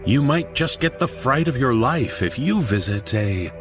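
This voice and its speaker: A man hosting a horror podcast, reading a scripted introduction in a measured, playfully ominous way. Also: medium pitch, animated, music in the background. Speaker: podcast host.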